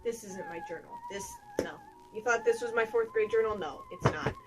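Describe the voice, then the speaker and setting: Theatrical high-pitched young woman. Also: wildly swooping pitch, over loud music. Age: young adult. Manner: theatrical, deliberately hammy, drawn-out emphasis. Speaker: video creator presenting to subscribers. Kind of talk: mock-dramatic reading, comedic vlog.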